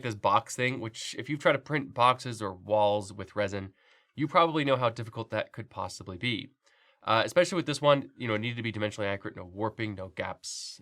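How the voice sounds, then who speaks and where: A man's voice: mid-range voice, fairly bright tone. Congested, chatty man with a cold. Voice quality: nasal. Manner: chatty, loose, with frequent fillers and restarts. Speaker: 3D printing channel host. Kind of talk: casual product review.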